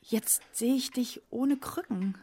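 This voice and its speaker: An actress playing a wary, searching woman in an intense radio drama, with a breathy voice. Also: a little high, slow pace, mellow. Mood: hushed, searching. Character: wary, searching woman, companion to a tormented man. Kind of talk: expressionist German radio drama acting.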